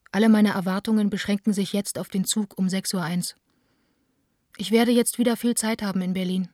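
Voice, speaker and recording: A woman's voice: medium pitch, a little mellow, no echo, studio quality, close to an everyday voice. An actress playing a disheartened young theatre director, reading her letters intimately in a radio drama. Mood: weary, resigned.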